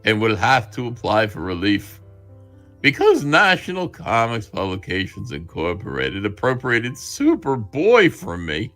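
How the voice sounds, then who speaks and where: A man's voice: fairly low pitch, huge pitch swings, unhurried, a bit bright, faint music behind, voice pushed down, exaggerated voice. Man putting on a melodramatic, bitter, wronged writer. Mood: broken, bitter. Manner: melodramatic, theatrical, swinging from outrage to near-whisper. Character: bitter destitute wronged comics writer reading out angry letters. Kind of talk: dramatic letter reading.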